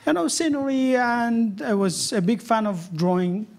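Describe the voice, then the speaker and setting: A man in his thirties from Afghanistan, speaking English as a with many pauses and fillers, interviewed on stage about his cartoons. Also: very high voice, expressive, gravelly. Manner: hesitant non-native English with many fillers. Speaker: cartoonist guest. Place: event hall on stage. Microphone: handheld mic held loosely.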